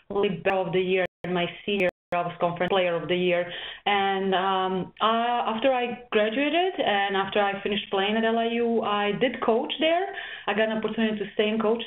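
Woman from Serbia on a tinny webinar line. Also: mid-range voice. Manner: many filler ums and restarts, halting. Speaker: volleyball coach. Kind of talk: webinar presentation. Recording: tinny webinar audio, echoey room.

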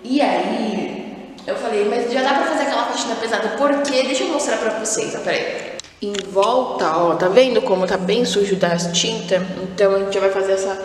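Very echoey young Brazilian woman vlogging. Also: mid-range voice. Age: young adult. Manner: informal, explaining as she shows things around. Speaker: home vlogger. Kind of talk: casual chat to camera. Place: bare tiled rooms of a newly renovated house. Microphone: handheld camera at arm's length. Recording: very echoey empty room, faint noise.

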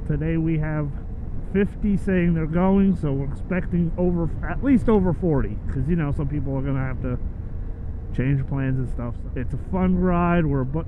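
Rambling man riding, wind-blasted helmet mic. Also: on the high side. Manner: rambling, loose, with many fillers and restarts. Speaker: motovlogger. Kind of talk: casual vlog narration while riding. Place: outdoors on a moving motorcycle on country roads. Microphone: helmet-mounted camera mic. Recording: tinny, narrow sound with loud wind and engine noise.

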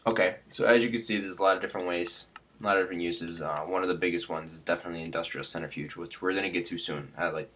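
A man's voice: low-pitched, sharp tone. A young man with a stuffed-up nose hosting a casual game tutorial, rambling with many fillers and restarts. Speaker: Minecraft tutorial host.

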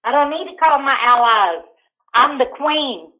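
A woman's voice: sits high, expressive, unhurried, pushed and bright. A woman with a Southern US accent talking casually on the phone in a defiant, goading way. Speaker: prank call target. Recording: muffled telephone call.

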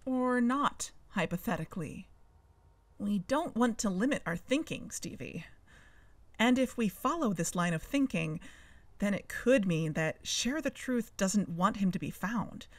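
A woman's voice: mid-range voice, animated, measured pace, dry recording. A woman playing an earnest, suspicious amateur investigator hosting a podcast in a scripted audio drama. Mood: earnest, deliberate. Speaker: podcast host.

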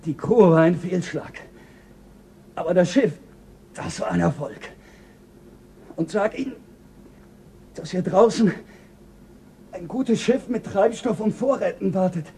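A bitter, doomed astronaut. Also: male voice, high-pitched, measured pace, gravelly, pauses often, warm tone, a little hiss or hum. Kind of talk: 1970s German radio drama.

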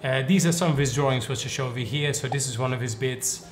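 A man's voice: mid-range voice, quick pace, soft background music. A man in his thirties from the Netherlands, a Dutch native speaker, hosting a casual interview in a playful, teasing way. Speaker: host. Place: indoor room with wooden wall.

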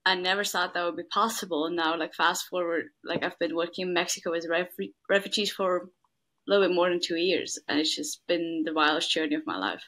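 A woman's voice: on the low side, a little fast, a bit bright. A young woman from Switzerland, talking earnestly as an interview guest and saying "like" often. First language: Swiss German. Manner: earnest, says "like" a lot. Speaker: guest, works at a refugee school. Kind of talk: casual interview talk.

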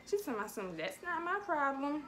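A young woman speaks casually to camera and on prank calls. Her voice is high and bright, with a sharp edge. She is recorded indoors at arm's length. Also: very animated, quick pace. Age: young adult. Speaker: prank-call vlogger. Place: small bedroom, indoors.

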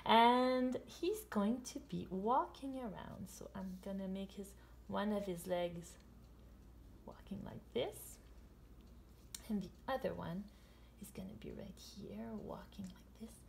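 Woman, 30s, children's illustrator giving a drawing demo; creaky voice, mid pitch, simple short phrases. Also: slow pace, a little mellow, a little hiss or hum. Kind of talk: storytime and drawing demonstration for children.

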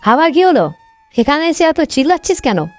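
A woman's voice: sits high, big pitch swings, fairly quick, faint music behind. A woman voicing a proud younger sister and mother in a cartoon morality tale, in a dull, lo-fi recording. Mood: haughty, irritated. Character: haughty, dismissive younger sister and mother, later humbled.

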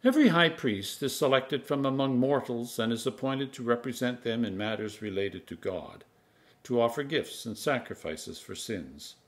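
A man in his sixties, an Anglican priest, reading scripture aloud in a measured, solemn, emphatic manner. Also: medium pitch. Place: home kitchen, indoors.

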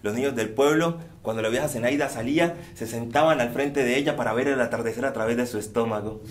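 A man performing a folktale on stage, speaking rhythmically and repeating phrases, often sinking to hushed tones. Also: mid-range voice, fast, a little hiss or hum. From Colombia. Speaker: storyteller. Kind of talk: theatrical oral storytelling. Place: dark theater stage.

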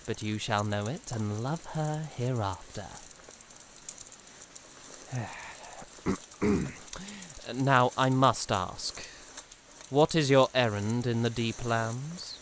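An actor playing a courtly, haughty young knight in a fan audio drama, speaking grand archaic lines with theatrical amusement. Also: male voice, mid-range voice, extremely slow, pauses often, slight background noise. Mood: courtly, earnest.

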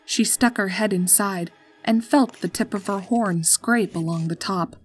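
A woman's voice: medium pitch, a little slow, breathy edge. A woman narrating a scripted story as its storyteller. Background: music.

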